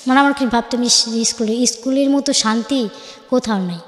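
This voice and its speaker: A 19-year-old woman with a Bangladeshi accent tells her life story informally, halting and correcting herself as she relays conversations. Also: on the high side. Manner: halting, self-correcting, acts out reported dialogue. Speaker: guest telling life story. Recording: echoey, reverberant room.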